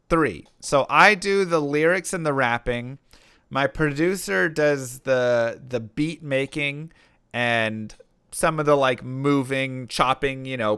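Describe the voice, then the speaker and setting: Bright, clear-voiced man chatting on stream. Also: medium pitch, no echo, studio quality. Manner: rambling, lots of fillers and restarts, reads chat messages aloud. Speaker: streamer and rapper. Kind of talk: casual live-stream Q&A, reading chat.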